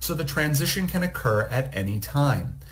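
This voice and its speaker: A man reading a scripted, recorded technical presentation in a measured, explanatory way. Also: fairly high pitch, lively intonation. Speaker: program manager presenting.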